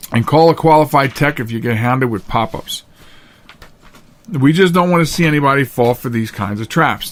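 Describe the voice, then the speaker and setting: A man hosting a podcast in a casual, unscripted monologue, earnest and plain-spoken, halting at times and repeating phrases for emphasis. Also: on the high side. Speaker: podcast host.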